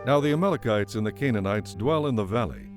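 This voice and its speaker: Casting: male narrator, low register, solemn measured scripture reading, clear music bed. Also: animated.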